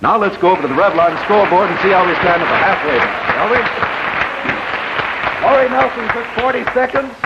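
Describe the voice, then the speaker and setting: An American man hosting a live 1950s television game show, with announcements and sponsor pitches. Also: animated, fairly quick, a loud crowd. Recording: dull, hissy 1950s television broadcast.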